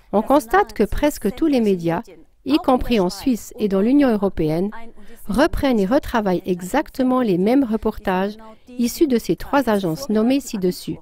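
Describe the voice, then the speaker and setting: A woman's voice: on the high side, a little mellow. A woman reading a scripted news commentary as a voice-over, in an earnest, emphatic tone.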